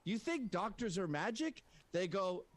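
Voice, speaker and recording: A man in a heated online debate, combative, crude and quick to interrupt. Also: high voice, sing-song. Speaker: debate guest.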